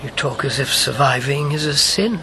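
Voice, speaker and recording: An actor playing a principled, combative elderly former wartime agent in a radio drama, pointed and sardonic. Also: male voice, mid-range voice, expressive, moderate pace, noisy background, natural voice. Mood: accusing. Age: older. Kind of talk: broadcast radio drama acting.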